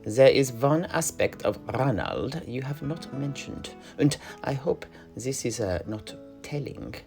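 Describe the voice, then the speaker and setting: A man's voice: medium pitch, sing-song, a little slow, creaky, darkish tone. A man playing an earnest, courteous fantasy character in roleplay, speaking formally and with some hesitation. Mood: earnest, curious. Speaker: listener questioning the storyteller. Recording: music behind the voice.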